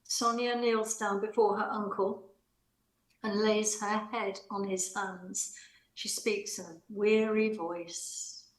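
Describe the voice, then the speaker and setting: A woman's voice: medium pitch, steady tone, slow pace. A woman reading out stage directions as scripted narration for a play. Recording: echoey room.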